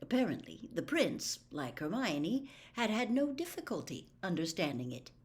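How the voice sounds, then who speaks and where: A woman reading a novel aloud as expressive, scripted story narration. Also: lowish voice, moderate pace. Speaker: audiobook reader.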